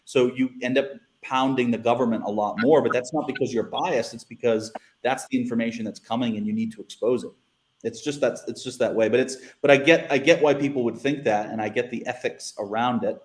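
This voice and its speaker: A man's voice: mid-range voice, steady tone, brisk, a little mellow, roomy sound, a little hiss or hum. A man with an American accent, a guest who was once a newspaper editor, talking casually and restarting his sentences often. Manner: rambling, repeats words, many restarts. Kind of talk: casual panel discussion.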